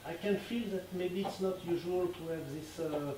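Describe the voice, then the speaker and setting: A French man, a film director, leading a festival Q&A in English with a French accent, halting and searching for words. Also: high-pitched, noisy background. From France. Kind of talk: festival Q&A after a screening. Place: cinema hall. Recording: echoey cinema hall from far off.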